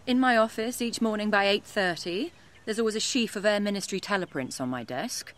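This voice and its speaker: An actress with a British accent playing a sharp-tongued, capable undercover policewoman in a radio drama, brisk and self-assured. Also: mid-range voice, animated, close to an everyday voice. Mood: tart, proud. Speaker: actor.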